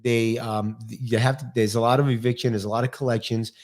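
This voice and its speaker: A man in his fifties with a New York accent, talking fast and with lots of energy as a guest on a podcast. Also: mid-range voice, flat delivery, a little mellow. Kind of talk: casual interview chat.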